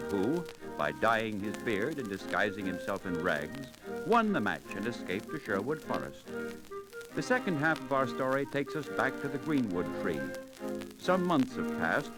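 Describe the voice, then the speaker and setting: A man's voice: fairly low pitch, rough recording. A man narrating and announcing a radio drama in formal, dramatic 1940s storytelling style. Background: loud music.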